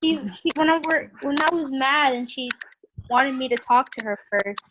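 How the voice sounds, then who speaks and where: A young woman in her early twenties with a high voice chats casually and self-deprecatingly. She is on an online group call, with clear noise and clicks in the recording. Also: moderate pace. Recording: noisy video call, clicks.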